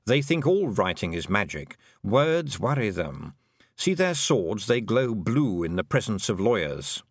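A man voicing a dry, knowing male talking toad who murmurs in a low, confiding way. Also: sing-song, measured pace, slightly rough, no room sound, full character voice.

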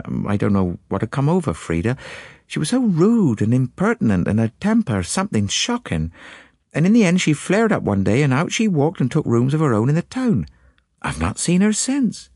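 A man's voice: mid-range voice, a little mellow, no room sound, clean studio sound, pitched above the performer's own voice. A man reading an audiobook, voicing an anxious, indignant middle-aged woman who talks in a prim, complaining way. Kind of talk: audiobook character voice.

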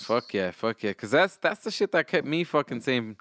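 A man's voice: medium pitch, sing-song, a little slow, a loud crowd. A Mexican-American man hosting a casual podcast, switching between English and Spanish with a loose, profane way of talking. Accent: Mexican-American, mixing English and Spanish. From United States. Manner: loose and profane, switching languages mid-sentence. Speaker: podcast host.

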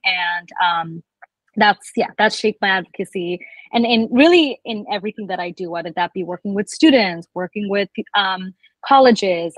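A woman's voice: medium pitch, no room sound, low-quality recording. Earnest young woman, dry close sound. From Bangladesh. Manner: thoughtful, earnest storytelling. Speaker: guest, immigrant rights advocate. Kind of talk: casual interview.

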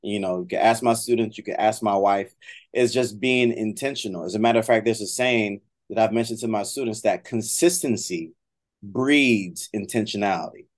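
A man's voice: lowish voice, normal speed. A middle-aged man with an American accent talking conversationally as an interview guest, often repeating and rephrasing as he goes. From Miami, United States. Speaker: guest, trombone professor.

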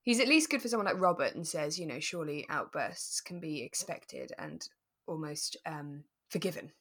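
A woman co-hosting a podcast in relaxed casual discussion, explaining things in a thoughtful, tentative way. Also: low-pitched, moderate pace. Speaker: podcast co-host.

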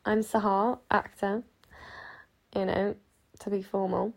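A woman's voice: mid-range voice, little pitch movement, takes it slowly, creaky. An eighteen-year-old woman from Sheffield, hosting a podcast in a rambling, chatty way that is full of asides. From Sheffield, England. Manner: chatty, self-interrupting, giggly asides. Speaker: podcast host. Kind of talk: rambling casual chat.

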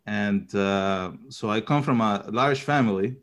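A man in his fifties, a seminary professor raised in Chile and Panama, who speaks English. He gives an earnest reflection to a church gathering and pauses often on filler sounds. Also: fairly low pitch, fairly bright tone. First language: Spanish.

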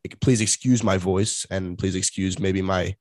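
A congested man co-hosting a sports podcast, speaking in a monotonous tone. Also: sits low, moderate pace, no room sound, clean studio sound. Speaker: podcast co-host. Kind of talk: casual sports podcast chat.

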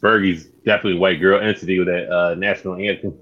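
A man's voice: deep voice, fairly even intonation, quick pace, muffled recording. A man co-hosting a casual podcast, teasing the others and repeating his lines over and over. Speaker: podcast co-host. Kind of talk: casual group banter.

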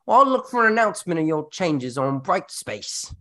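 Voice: mid-range voice, big pitch swings, normal speed, a bit bright. A performer voicing a cheeky, blunt young Dickensian street urchin with a Cockney British accent in a radio drama, full of slang. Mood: obliging.

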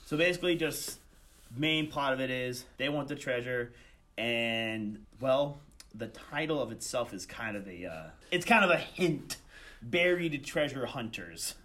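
A man's voice: mid-range voice, expressive, unhurried, pushed and bright. A young adult man talking casually as an interview guest, often restarting his phrases in a hesitant way. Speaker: student director and voice actor, interview guest.

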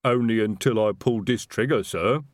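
A man's voice: on the low side, moderate pace, no room sound, studio quality, lightly acted. Man doing a big cheerful troll. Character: big, cheerful, slow-witted male troll guard.

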